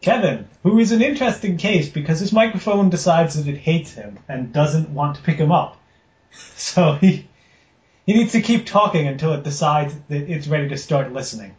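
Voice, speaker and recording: A man's voice: a little high, lively intonation, normal speed, a little mellow, roomy sound, highs cut off. A man from Rhode Island running a tabletop game as game master, joking offhand and reading a formal charter aloud.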